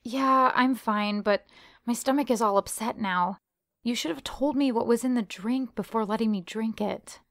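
Woman playing young woman, slightly high voice, friendly, queasy, flustered, audio drama. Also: normal speed, fairly bright tone, no room sound, clean studio sound. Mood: upset. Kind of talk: amateur audio drama acting.

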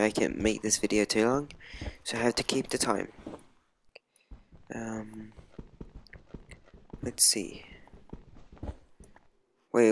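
A man's voice: lowish voice, a bit bright, slight background noise. A young man from Sweden with a Swedish accent, rambling hesitantly through casual let's play commentary with many ums. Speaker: gamer. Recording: music playing behind. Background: music.

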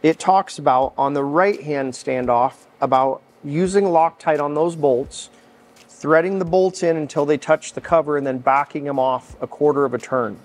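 Higher-pitched middle-aged North American man, instructing. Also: steady tone, moderate pace. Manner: explanatory, step-by-step. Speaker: mechanic instructor. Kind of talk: informal hands-on how-to instruction. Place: large indoor workshop.

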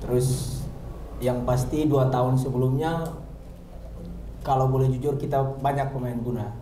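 A man's voice: mid-range voice, steady tone, normal speed. A young man, a football player speaking in plain, conversational short phrases at a press conference. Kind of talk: post-match press conference remarks. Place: indoor press conference room. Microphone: handheld microphone. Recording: echoey, noisy press room.

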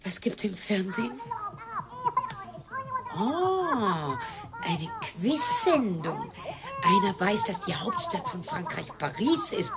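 A tired older wife in a radio play, dry and resigned. Her pitch moves a lot and her voice turns creaky, with birdsong clearly behind her. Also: wildly swooping pitch, takes it slowly, pauses often, very noisy, full character voice. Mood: mocking.

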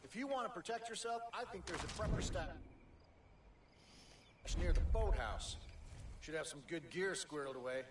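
Rescued countryside local, video game dialogue, higher pitch, animated, distant game audio. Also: male voice, moderate pace, pauses often, background noise. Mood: urgent. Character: rescued local civilian man in rural resistance. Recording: distant game audio under other sounds.

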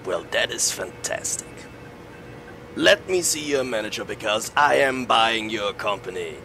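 A swaggering, brawny cartoon tycoon from Australia. His voice is low and gravelly, forceful and bombastic, with faint music behind. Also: animated, measured pace. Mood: bombastic, brash. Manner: bombastic shouting boasts. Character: brawny, brash, bombastic Australian macho boss.

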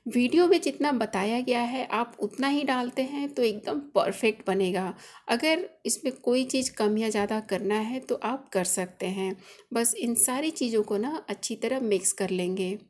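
Woman host, casual home-cook voice-over, slightly high voice, patient and explanatory. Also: a little mellow. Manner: friendly instructive, chatty home-cook explaining. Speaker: cooking channel host. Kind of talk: recipe voice-over narration.

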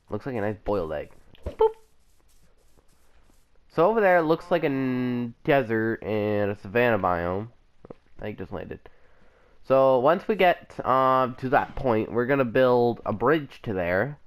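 A young man rambling casually while playing a game, still sick with a cough and the flu. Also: lowish voice. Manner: rambling, chatty, self-correcting. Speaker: gameplay commentator. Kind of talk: casual let's play commentary.

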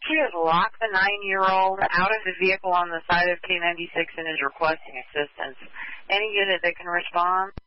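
A man dispatcher announcing a request for assistance over the radio, clear and even. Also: high voice, fairly quick, a crowd in the background. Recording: dry, muffled radio.

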